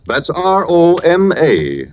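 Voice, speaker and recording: A man's voice: fairly high pitch, huge pitch swings, slow pace. A man reading a sponsor introduction as a formal, emphatic 1940s radio announcer. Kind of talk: 1940s radio advertising read. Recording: muffled, noisy old radio recording.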